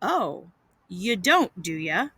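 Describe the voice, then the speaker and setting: A woman voicing a storybook aunt, an older guardian who is brisk, scolding and soft-hearted, with emphatic fussing. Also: fairly low pitch, very animated, husky, no room sound, studio quality, voice pushed down, heavily acted. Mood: tender reproach. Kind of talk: storybook character voice.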